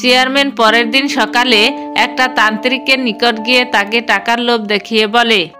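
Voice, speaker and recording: A woman's voice: a little high, fairly even intonation, moderate pace, music in the background. A woman giving scripted storybook narration for a cartoon.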